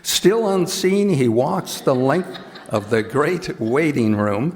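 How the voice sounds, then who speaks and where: Male storyteller for radio drama, unhurried read, mid-range voice, hall reverb. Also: medium pitch. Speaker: narrator of a radio play. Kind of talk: scripted dramatic narration. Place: live stage performance in a hall. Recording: echoey live hall.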